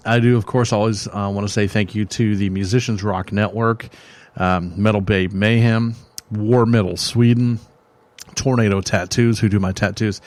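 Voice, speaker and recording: Man, metal radio host, lowish voice, dry close sound, rambling jokey chat, voice going hoarse. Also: no room sound. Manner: rambling, joking, many fillers and restarts. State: losing his voice. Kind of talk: casual music review chat.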